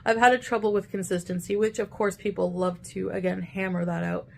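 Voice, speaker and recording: Gravelly-voiced woman, defensive. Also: medium pitch, steady tone, fairly quick, slight background noise. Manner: defensive, self-justifying. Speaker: video creator. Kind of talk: talking to camera.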